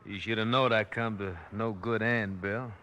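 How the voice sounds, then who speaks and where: An actor playing a sly, cocky captured outlaw with a Western accent in a radio western. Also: male voice, lowish voice, fairly even intonation, normal speed, bright, forceful tone. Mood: sly, rueful. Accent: American Western. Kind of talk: 1950s radio western acting. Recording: old radio recording with faint noise.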